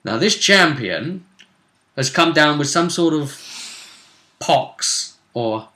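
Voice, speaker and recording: Bright-voiced man in an echoey room. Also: on the high side, lively intonation, slow pace. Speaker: host. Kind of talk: casual chat recapping a tabletop role-play game. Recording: roomy, echoey room sound.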